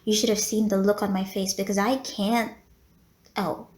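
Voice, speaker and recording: A woman's voice: medium pitch, flat delivery, moderate pace, darkish tone, echoey room, lightly acted. A woman playing a polite, teasing female vampire who runs a blood bank, in an audio roleplay spoken to the listener. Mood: wistful, chatty. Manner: genteel, courteous, teasing. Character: polite, flirty female vampire running a blood bank, playful and long-suffering. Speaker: medical center receptionist.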